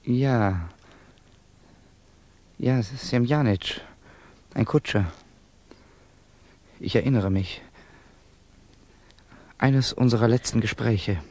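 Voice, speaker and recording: An actor playing a bitter, guarded, touchy young Russian student in a 1960s German radio drama, with clipped, defensive questioning. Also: male voice, mid-range voice, drawn-out words, long pauses, a little mellow. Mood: tense, guarded.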